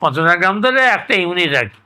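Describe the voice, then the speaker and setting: An older man with a West Bengal accent speaks in a bright, forceful voice pitched a little high. He explains conversationally, repeating himself and halting as he searches for the next phrase. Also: very animated. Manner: halting, repetitive explaining with frequent tag words. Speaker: interviewee recounting his experience. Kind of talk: conversational oral-history reminiscence.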